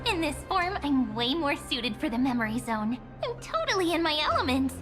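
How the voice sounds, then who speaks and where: A woman's voice: high-pitched, sing-song, moderate pace, over music. A woman voicing a playful, mysterious young girl in a fantasy video game, with coy, teasing delivery. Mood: bright, playful.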